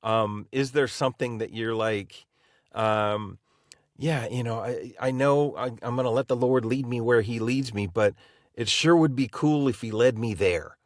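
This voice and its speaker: Halting, rambling male co-host. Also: mid-range voice, dry recording, studio quality. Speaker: podcast co-host. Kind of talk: casual interview chat.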